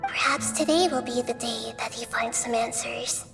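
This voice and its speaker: A woman voicing a wise, young-looking goddess in a game cutscene, speaking in a calm, knowing and gently mysterious way. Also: fairly high pitch, moderate pace, vocal fry. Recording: distant-sounding voice with loud music. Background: game score music.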